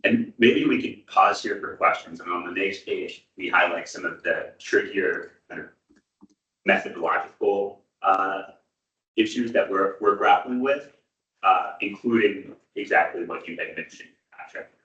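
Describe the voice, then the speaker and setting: A man with a very low, bright, loud voice who projects into the room to run a workshop. The sound is dull and echoey, and the mic is far from him. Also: measured pace. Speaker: meeting facilitator. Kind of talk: facilitating a workshop. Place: meeting room.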